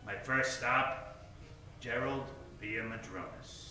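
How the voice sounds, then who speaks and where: A man's voice: mid-range voice, slow, pushed and bright. A man acting a brash, wisecracking hardboiled private detective in a noir radio parody. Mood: brooding narration. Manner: brash, swaggering. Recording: echoey, distant live stage with music. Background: music.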